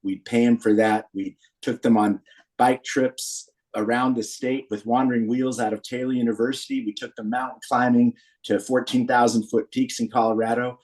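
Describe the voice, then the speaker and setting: An American man in his sixties speaks as a guest on a podcast. His voice sits at an average pitch, and he recounts personal history in an earnest, anecdotal manner. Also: little pitch movement, normal speed, darkish tone. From Berkeley, California, USA. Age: 60s. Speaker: podcast guest, founder of a men's community group. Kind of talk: personal storytelling in a podcast interview.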